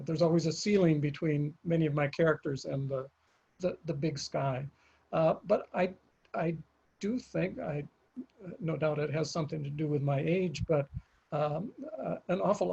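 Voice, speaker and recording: An older man, an author, speaking in a panel conversation in a halting, reflective way with frequent pauses. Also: a little high, gravelly, a little mellow, breathy edge. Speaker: author guest. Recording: dull lo-fi audio.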